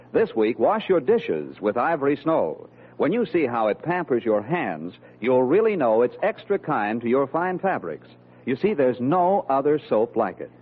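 Male vintage commercial announcer: American, rough-edged voice, animated persuasive read, phone-like old radio sound. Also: medium pitch. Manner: polished, persuasive. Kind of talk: 1940s radio advertising read. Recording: narrow-band vintage radio recording.

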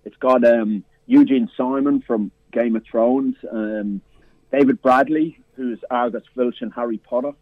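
Man on a phone line, tinny and dry, halting, lots of ums and restarts, festival programmer. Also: medium pitch, fairly even intonation, dry recording. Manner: halting, many fillers and restarts. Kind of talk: radio interview on the phone.

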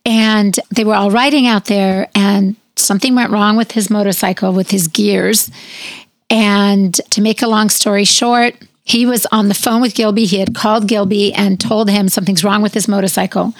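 A middle-aged woman with a raspy, hoarse voice, co-hosting a podcast and telling a long story in a chatty way. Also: medium pitch, steady tone, moderate pace, no room sound. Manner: chatty storyteller, fillers like "like" and "you know". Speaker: podcast co-host. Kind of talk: casual chat.

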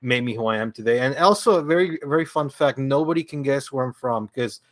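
A man's voice: medium pitch, fairly quick, no room sound, studio quality. A man from Venezuela, a podcast guest telling stories in a rambling, chatty way with frequent laughter and restarts. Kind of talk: casual conversational storytelling.

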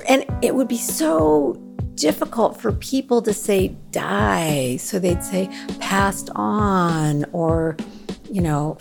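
A woman's voice: medium pitch, gravelly. Chaplain lady telling funny candid stories, voice jumps all over, little music in the back. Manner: candid, joking, animated. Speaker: hospital chaplain. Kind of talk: storytelling interview.

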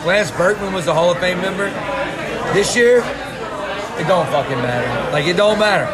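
A man's voice: high voice, moderate pace. A man in a casual group chat, blunt and teasing, swearing freely. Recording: loud crowd noise around.

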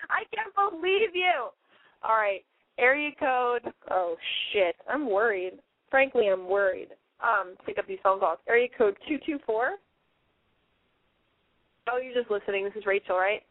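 Young woman radio host, nasal with a cold, valley-girl talk, bright higher voice, tinny phone line. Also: lively intonation, unhurried, dry recording. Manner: valley-girl speech, blunt and profane. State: has a cold. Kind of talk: casual call-in radio chat.

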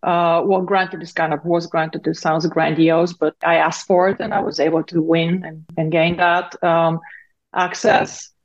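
A woman's voice: lowish voice, level tone, measured pace, lo-fi sound. A woman in her fifties from Bulgaria, a native Bulgarian speaker, answering conversationally as an interview guest. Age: 50s. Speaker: interview guest, tech founder and CEO.

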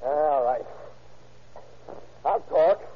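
A man's voice: fairly high pitch, expressive, extremely slow, slight background noise. An actor playing a cornered, defiant male bootlegger in a 1930s radio drama, talking in a sneering way. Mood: sly. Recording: muffled 1930s radio recording.

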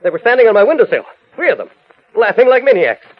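An actor playing a weary, embarrassed middle-aged police inspector, hemming and exasperated, in a 1940s radio serial. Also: male voice, high voice, very animated, fast, no room sound, muffled recording. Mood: agitated. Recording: thin, band-limited vintage radio recording.

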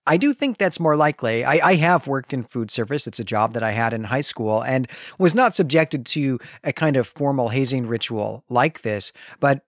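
A man's voice: medium pitch, lively intonation, moderate pace, few pauses, no room sound. A man co-hosting a podcast, talking through books conversationally in a methodical, explanatory way, close to the microphone. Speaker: podcast co-host. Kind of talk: conversational literary discussion.

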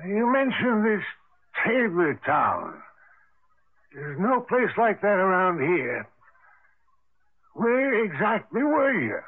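A man's voice: sits high, huge pitch swings, slow pace, long pauses, slightly rough, exaggerated voice. An actor playing a hearty, puzzled but welcoming older father in a vintage radio drama. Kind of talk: 1970s-80s radio drama acting. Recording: lo-fi vintage radio broadcast, faint noise.

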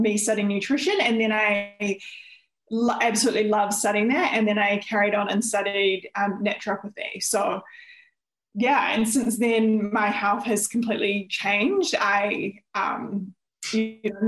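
A woman's voice: mid-range voice, a bit bright. A young adult woman with a New Zealand accent, giving conversational answers as an interview guest in a roomy, echoey recording. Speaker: interview guest, nutritionist and company founder.

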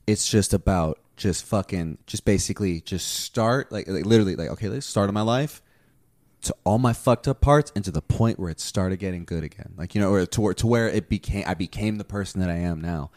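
Low-voiced young man, rambling and profane. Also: fairly quick, darkish tone, no echo, clean studio sound. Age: 20s. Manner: rambling, profane, many fillers. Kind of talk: casual chat.

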